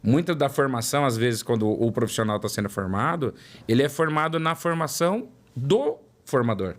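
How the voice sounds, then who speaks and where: A man's voice: mid-range voice, expressive, moderate pace. A man in his forties with a São Paulo accent, a guest telling his career story in an interview and repeating words for emphasis. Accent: São Paulo Portuguese. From São Paulo, Brazil. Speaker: guest, product development director. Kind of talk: interview conversation.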